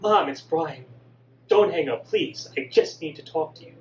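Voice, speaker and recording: A man doing a soft, remorseful young son. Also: animated, normal speed, natural voice. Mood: pleading. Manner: soft, pleading, lower and quieter. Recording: distant mic with faint hum.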